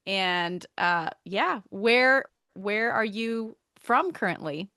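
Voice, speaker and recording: A woman hosting a podcast, asking her guest questions in an encouraging, friendly manner. Her voice is mid-pitched and recorded dry, with no room sound around it. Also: lively intonation, slow pace, bright, forceful tone, no echo. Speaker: podcast host. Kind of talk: podcast interview.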